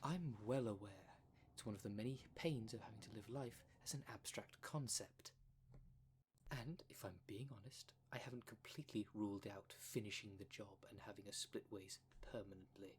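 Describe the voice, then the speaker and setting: Dream demon, male actor, lowish pitch. Polite, fussy, pedantic, formal wording. ASMR roleplay, noisy backdrop. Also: moderate pace, close to an everyday voice. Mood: irritated. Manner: formal, wordy, precise diction. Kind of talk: ASMR roleplay audio drama.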